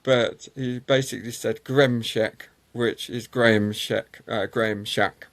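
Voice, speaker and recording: A man's voice: mid-range voice, steady tone, a little slow, fairly bright tone. Hesitant man telling racing history. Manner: rambling, many ums and uhs, anecdotal. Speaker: motorsport historian guest. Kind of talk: conversational interview storytelling.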